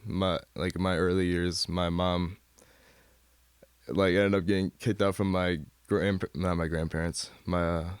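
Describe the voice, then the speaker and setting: A man's voice: deep voice, little pitch movement, unhurried, clear, resonant tone, no room sound. An American man from Michigan speaking as a guest in a casual interview, rambling in a laid-back, slangy way. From Michigan, United States. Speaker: guest artist. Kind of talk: casual studio interview chat.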